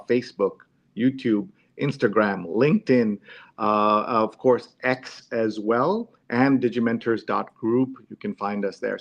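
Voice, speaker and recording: A man's voice: medium pitch, creaky, dull audio. A man hosting a live discussion show, talking casually to his audience.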